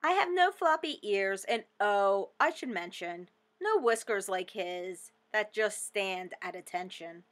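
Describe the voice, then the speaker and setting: A woman's voice: medium pitch, expressive, unhurried, natural voice. A woman voicing a jealous, boastful little hen in a storybook, with indignant, emphatic delivery.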